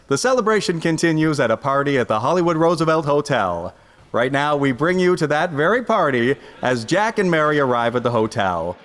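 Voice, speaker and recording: An actor playing a jovial, polished radio announcer, reading ads and introductions in 1950s style. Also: male voice, on the high side, fairly bright tone, long reverb tail.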